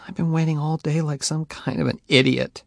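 A man's voice: a little high, steady tone, brisk, gravelly, no room sound, pitched above the performer's own voice. A man reading an audiobook, voicing a heartbroken young woman who pleads tearfully and flares into anger. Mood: hurt pleading. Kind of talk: audiobook character voice.

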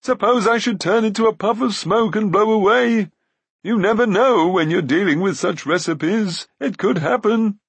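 A man's voice: high-pitched, normal speed, slightly rough, no room sound, pitched above the performer's own voice. A man voicing a cowardly, self-pitying little giant in a fantasy audiobook, pleading and wheedling plaintively.

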